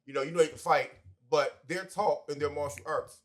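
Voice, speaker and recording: A man's voice: fairly high pitch, brisk. A man in his forties co-hosting a podcast, talking emphatically and with plenty of swearing. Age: 40s. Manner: emphatic, profane, preachy. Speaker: podcast co-host. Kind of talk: casual chat.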